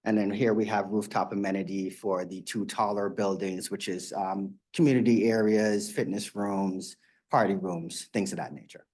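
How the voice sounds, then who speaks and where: A man with a fairly low voice presents a development proposal at a public community meeting. He explains in a steady, plain way, often pausing on fillers as he clicks through slides. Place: meeting room.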